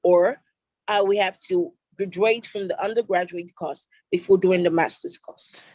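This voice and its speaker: A woman's voice: fairly low pitch, steady tone, moderate pace, darkish tone, dry recording. A woman with a Nigerian accent, a prospective student politely asking formal questions in an online meeting, often rephrasing them. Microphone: video call.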